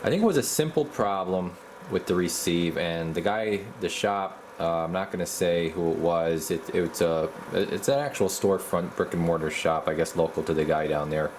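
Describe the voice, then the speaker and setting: A man with a low voice talks casually through hands-on repair work as a technician. His delivery is halting and folksy, like someone thinking aloud, and there is noticeable background noise behind him. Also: darkish tone. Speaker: radio repair technician and video host.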